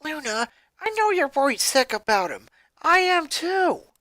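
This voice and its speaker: A woman's voice: sits high, big pitch swings, unhurried, a bit bright, no echo, voice pushed up, heavily acted. A woman voicing a defensive, exasperated teenage big sister in a story reading.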